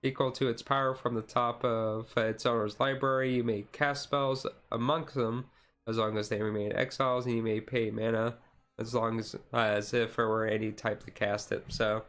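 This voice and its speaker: A man streamer giving a casual, chill card-deck walkthrough, halting and self-correcting, his voice a little tired. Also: medium pitch, steady tone, fairly bright tone. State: voice tiring. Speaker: streamer, card game hobbyist.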